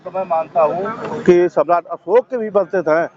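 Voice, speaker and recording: High-pitched man, assertive. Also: normal speed, a little mellow, muffled recording, slight background noise. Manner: assertive, emphatic, repeating phrases. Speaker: protester interviewed at a sit-in. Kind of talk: impassioned political and religious interview answers.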